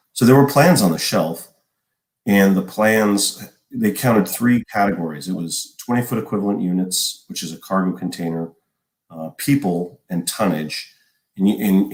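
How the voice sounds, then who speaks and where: A man in his forties, a former army logistics officer, explaining at length in a podcast interview with a measured manner and many restarts. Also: sits low, a little mellow, roomy sound. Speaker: guest, retired army logistics colonel.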